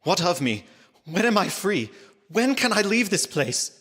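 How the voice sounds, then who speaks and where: A man playing a frightened young English gentleman prisoner, giving formal, dramatic diary narration in a gothic audio drama. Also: high voice, sing-song, measured pace, husky, full character voice. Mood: desperate. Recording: music underneath the voice.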